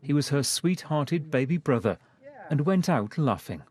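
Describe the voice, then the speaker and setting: A man's voice: fairly high pitch, expressive, moderate pace, darkish tone. A man narrating a scripted news report as a correspondent. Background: music.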